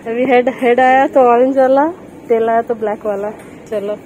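High-voiced playful woman vlogging in a mall. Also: fairly even intonation, moderate pace, darkish tone, lo-fi sound. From Kolkata, India. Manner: teasing, playful, laughs often. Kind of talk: casual vlog chat. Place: shopping mall and sports store, indoors. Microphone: phone camera at arm's length. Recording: noisy mall with crowd.

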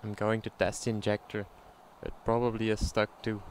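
A man's voice: lowish voice, flat delivery, normal speed. A man giving casual workshop commentary as the host of a repair vlog. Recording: clear background noise, mechanical clicks.

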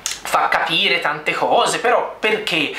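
A man's voice: fairly high pitch, takes it slowly, sharp tone. A young adult man giving an unscripted film review to camera, explaining emphatically and often repeating himself. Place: small bare-walled room indoors. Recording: echoey room with faint noise.

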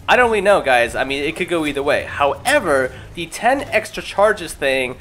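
A man's voice: on the high side, sing-song, normal speed, a bit bright, poor audio. A young man doing casual gaming commentary as a video creator, chatty, informal and self-deprecating. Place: voice-over recorded apart from the gameplay. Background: music.

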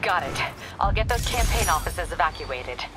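A woman's voice: lowish voice, expressive, normal speed, music in the background, close to an everyday voice, rough recording. An American woman playing a brusque, harried police captain in a video game, curt and no-nonsense.

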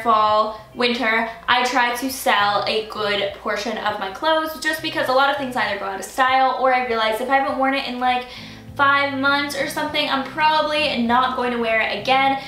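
A woman's voice: sits high, pushed and bright. A woman in her early twenties with an American accent, chatting casually to camera as a lifestyle vlogger in a youthful, chatty way. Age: early 20s. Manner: chatty, youthful, frequent "like" and "kinda". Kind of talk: casual scripted-free vlog chat to camera. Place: small furnished bedroom, indoors. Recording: roomy bedroom echo, faint music. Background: faint music.

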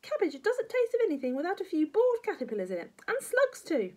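A woman's voice: very high-pitched, huge pitch swings, brisk, darkish tone, pitched above the performer's own voice, heavily acted. A woman voicing a tiny, wicked old grandmother from a children's storybook, bossy, snappy and scolding. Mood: gleefully nasty.

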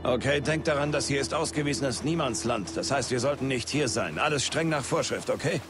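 A man's voice: medium pitch, a little fast, background noise, lightly acted. A man playing a stern, no-nonsense special forces captain giving orders in a German-dubbed video game. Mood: stern, focused. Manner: commanding, no-nonsense. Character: stern, businesslike male special forces captain, squad leader.

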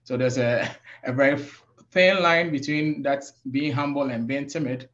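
Guy from africa on a muffled video call, slow and thoughtful with lots of uhs. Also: mid-range voice, a bit bright. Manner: measured, reflective, many "uh" pauses. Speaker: safety professional. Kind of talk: webinar panel discussion.

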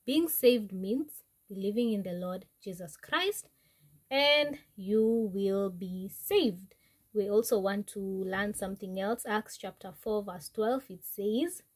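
A young woman with a Kenyan accent giving an informal Bible lesson to camera, chatty and halting, often correcting herself. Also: mid-range voice, darkish tone, slightly rough. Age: young adult. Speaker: Bible teaching vlogger. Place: small wood-panelled room indoors.

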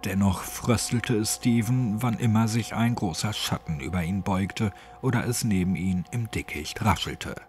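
Low-voiced man narrating horror. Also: normal speed, few pauses, a little mellow. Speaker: audiobook narrator. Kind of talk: scripted horror story narration. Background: faint music.

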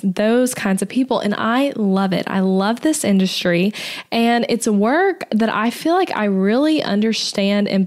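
A woman's voice: fairly high pitch, a little mellow. A young adult woman hosting her own podcast, talking in an upbeat, chatty way while getting her voice back after being sick. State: recovering voice after illness. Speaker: podcast host, web designer. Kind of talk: solo podcast talk.

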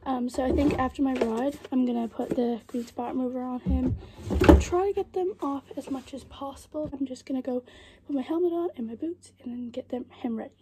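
A teenage girl vlogging casually about her horse at a barn, talking into a phone camera she holds close, chatty with fillers and quick asides. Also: sits high, noisy background. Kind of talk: casual vlog narration. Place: horse barn and outdoor riding arena. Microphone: phone camera held close.

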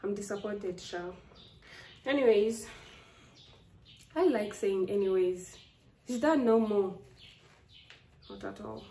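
A woman's voice: mid-range voice, expressive, slow pace, pauses often, mellow. A young woman from Rwanda with a Rwandan accent, chatting casually to a phone camera as a vlogger. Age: young adult. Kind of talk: casual vlog chat to camera. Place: small indoor rooms, speaking to camera. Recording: roomy echo, faint background noise.

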